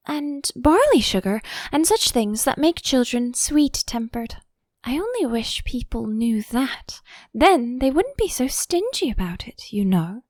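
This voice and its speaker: A woman's voice: on the high side, a little mellow, no room sound, studio quality. A woman voicing a polite, curious young girl in a storybook, careful and earnest in manner.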